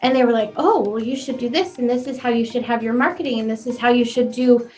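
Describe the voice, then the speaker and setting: A woman in her forties, a florist and business owner, telling her story in a chatty, animated way with a young-sounding voice. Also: a little high, quick pace, faint music behind. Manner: chatty and animated, laughs easily. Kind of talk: conversational interview.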